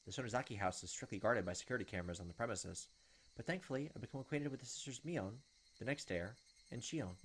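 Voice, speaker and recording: A man's voice: medium pitch, fast talker, a bit bright, a little hiss or hum. A young man hosting a gaming video, reading game text aloud and adding casual commentary.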